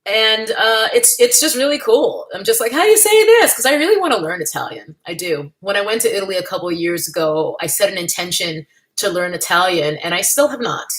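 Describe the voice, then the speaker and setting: A woman's voice: medium pitch, animated, brisk, few pauses, fairly bright tone. Candid, chatty woman livestreaming from home. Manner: chatty and candid, with frequent laughs and playful asides. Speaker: livestream host. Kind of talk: casual livestream chat, reading the chat.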